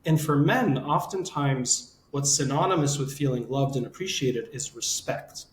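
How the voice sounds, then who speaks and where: A man hosting a conversational advice podcast, summarizing and reframing points in a measured way, recorded with roomy echo. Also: medium pitch. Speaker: podcast host and relationship counselor. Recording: roomy echo, audible background noise.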